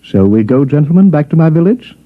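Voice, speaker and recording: An actor playing a polite, smugly threatening villain in a 1950s radio drama, speaking with formal mock courtesy. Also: male voice, a little high, very animated, fairly quick. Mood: smooth menace. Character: suave, menacing male villain, mock-courteous wealthy village merchant. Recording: dull lo-fi vintage radio.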